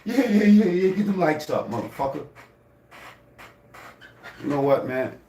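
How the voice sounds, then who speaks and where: A man's voice: a little high, very animated, measured pace, warm tone. A man giving casual, joking reaction commentary on a video, loose and profane, often repeating himself. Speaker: reaction streamer. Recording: roomy echo, faint noise.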